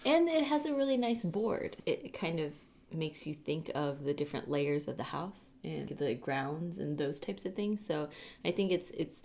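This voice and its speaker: Woman podcast cohost, really low voice w vocal fry, just chatting. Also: lively intonation, normal speed, a little mellow. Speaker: podcast co-host. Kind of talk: casual chat.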